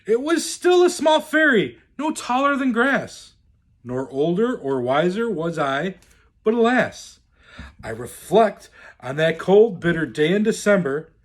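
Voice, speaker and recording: Slow, swooping male storyteller. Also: sits high, very animated. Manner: theatrical, deliberate, swooping delivery. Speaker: storyteller in the role of Santa. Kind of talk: expressive reading of a rhyming children's storybook.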